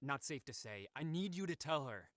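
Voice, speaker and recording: Guarded, hunted Artie, acted by a man, lively pitch. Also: normal speed, dry recording, studio quality. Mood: guarded, urgent. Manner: clipped, guarded, urgent. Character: Artie, evasive, hunted man on a secret call. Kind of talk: audio thriller acting.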